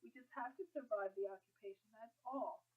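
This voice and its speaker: A woman's voice: on the high side, a little fast, narrow, tinny audio, lightly acted. A woman voicing an anxious, earnest young colonial girl in an audiobook, pleading yet stubbornly brave. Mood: self-encouraging. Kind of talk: audiobook character dialogue.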